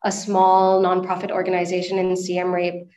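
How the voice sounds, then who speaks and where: A woman's voice: lowish voice, monotone, normal speed, a little mellow. A woman in her late twenties from Sri Lanka, giving conversational answers as a podcast guest. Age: late 20s. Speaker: podcast guest, nonprofit and social enterprise manager. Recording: echoey room, dull lo-fi call audio.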